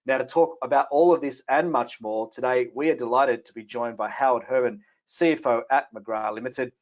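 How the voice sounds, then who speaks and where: A man's voice: medium pitch, normal speed, poor audio. A man hosting a live business webinar, reading a scripted opening and then asking loose questions to a panel. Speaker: webinar host, company CFO.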